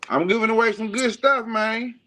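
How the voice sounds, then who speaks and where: A man's voice: sits high, moderate pace, bright, forceful tone, slight background noise. A man on a casual livestream chat, eagerly promoting his channel and a giveaway.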